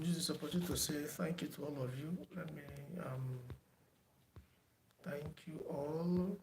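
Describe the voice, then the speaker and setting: Soft-spoken man in an echoey room. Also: on the high side, creaky, a little hiss or hum. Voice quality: soft-spoken, says he does not shout. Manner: unhurried, many fillers, repeats himself. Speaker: online driving theory tutor. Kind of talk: closing remarks to a live online class. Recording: echoey room with faint background ambience.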